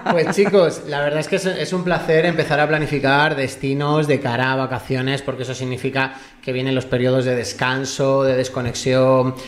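A man's voice: medium pitch, level tone, moderate pace, slight background noise. A man from Spain hosting a radio travel show, jokey and laughing often as he chats with guests. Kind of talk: lively radio chat.

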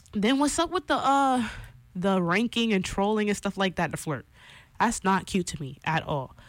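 A woman's voice: on the low side, no room sound. Chatty young woman radio host, dry sound. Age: 20s. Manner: animated, chatty, opinionated, lots of fillers. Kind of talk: casual radio talk segment.

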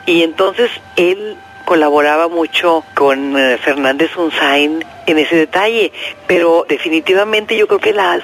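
A man from northern Mexico with a regional accent, reflecting conversationally as an interviewed expert and pausing to search for words. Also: fairly high pitch. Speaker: interviewed expert on film history. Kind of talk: documentary interview, reflective explanation.

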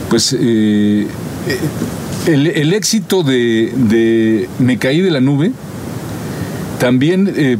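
Male host, Mexican accent, halting conversational storyteller, mid-pitched, with clear background rumble. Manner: hesitant, repeats words, seeks agreement. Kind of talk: informal storytelling about music history.

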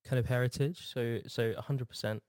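A man's voice: mid-range voice, steady tone, a little mellow, no echo, clean studio sound. A man hosting a casual radio chat, friendly and teasing as he leads the conversation. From Scotland. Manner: quick, friendly, teasing interviewer. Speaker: radio host.

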